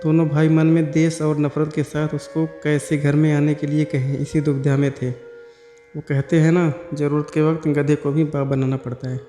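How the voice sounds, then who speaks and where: A man's voice: on the high side, flat delivery, normal speed, dark tone. A man narrating a scripted story as a storyteller. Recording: echoey hall-like reverb over music. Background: background music.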